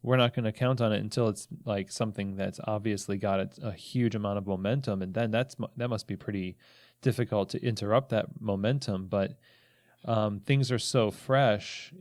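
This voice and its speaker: Guy podcast host, slightly low voice, very dry sound, thoughtful long questions that stop and start. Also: a little mellow, no echo. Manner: reflective, builds long halting questions. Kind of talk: conversational interview.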